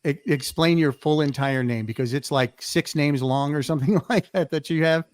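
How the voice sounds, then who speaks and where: A man's voice: fairly high pitch, normal speed, darkish tone, dry recording. A man hosting a podcast in a casual, chatty interview style. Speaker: podcast host.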